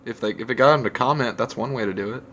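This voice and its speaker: A man's voice: medium pitch, fast talker, a bit bright. A man chatting casually as a guest on a podcast. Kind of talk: casual chat.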